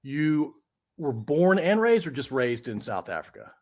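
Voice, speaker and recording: A man's voice: on the high side, big pitch swings, measured pace, a little mellow. A man from Central Virginia, a podcast host chatting casually and rambling a little as he sets up his questions. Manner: friendly, rambling with many uh fillers. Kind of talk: casual conversation.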